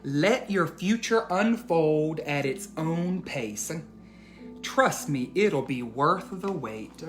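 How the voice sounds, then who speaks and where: A male educator speaks to young children as in a bedtime read-aloud. His phrasing is deliberate and emphatic, aimed straight at the young listeners. Faint music sits behind his voice. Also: on the high side, animated, a bit bright.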